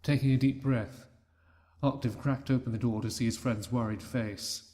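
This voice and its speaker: A man narrating a fan-written story in a steady reading voice. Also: mid-range voice, moderate pace. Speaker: reader.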